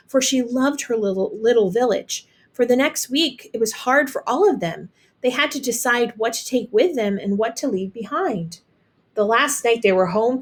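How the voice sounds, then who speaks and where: A woman reading a children's story aloud as an expressive storyteller. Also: on the high side. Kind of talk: expressive children's picture book narration.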